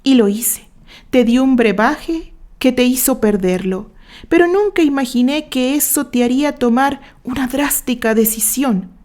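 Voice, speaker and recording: A woman acts out an obsessed gentleman confessing dark deeds in an audiobook story. The delivery is bitter, accusing and anguished, in a fairly high voice. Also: unhurried. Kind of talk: dramatic audiobook acting.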